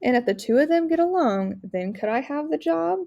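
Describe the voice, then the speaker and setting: An eager storybook girl, played by a woman, sincere and pleading in her folksy way. The voice is mellow, a little raised from plain narration. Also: high voice, expressive, normal speed, gravelly, lo-fi sound, slight background noise, pitched above the performer's own voice. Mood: earnest pleading. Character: earnest, eager young Southern preacher's daughter. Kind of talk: storybook read-aloud character voice.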